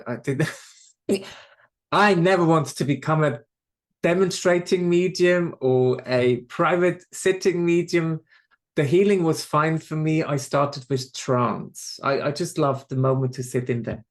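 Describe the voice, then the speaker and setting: A man's voice: fairly high pitch, a little slow. A man with a Swiss accent, a medium being interviewed on a podcast, speaking reflectively and often restarting and repeating words. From Switzerland.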